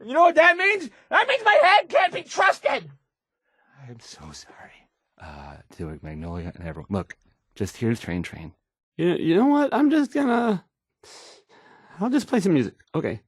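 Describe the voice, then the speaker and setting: A timid, self-doubting young radio DJ for a video game. His pitch swings widely as he stammers and hesitates his way through apologetic patter. The recording is close and very dry. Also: very animated, normal speed, a bit bright, breathy edge, dry recording, heavily acted. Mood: flustered, then anxious, cornered. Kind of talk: post-apocalyptic video game voice acting.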